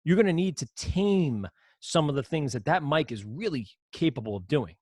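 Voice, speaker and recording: A man with a low voice teaching an audio production class over a screen recording, explaining in a casual, rambling way with frequent self-corrections. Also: expressive, moderate pace, a little mellow, dry recording, clean studio sound. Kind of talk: screen-share software lesson.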